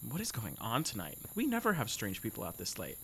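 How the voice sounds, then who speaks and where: Impulsive teen, low voice, very animated, curious, audio drama. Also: female voice, fairly quick, a little hiss or hum, full character voice, poor audio. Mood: curious, wary. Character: bold, curious teenager, impulsive best friend.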